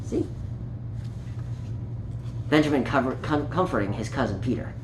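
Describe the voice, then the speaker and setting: A person reading a children's story aloud in an animated, playful way, with small asides and stumbles over words. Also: medium pitch, normal speed. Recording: echoey room with light background noise.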